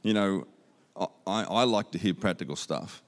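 A man's voice: sits low, moderate pace. A man with an Australian accent giving a church sermon as a guest speaker, upbeat and conversational, repeating key phrases for emphasis. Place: church auditorium. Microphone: handheld microphone.

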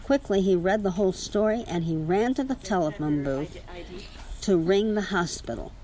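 A woman's voice: mid-range voice, lively intonation, moderate pace, warm tone, poor audio. A woman reading a children's story aloud to a child, with casual asides.

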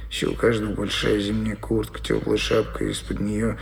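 A man's voice: lowish voice, monotone, moderate pace, creaky. An actor playing a coarse, disgusted city man in an audio play, grumbling sourly.

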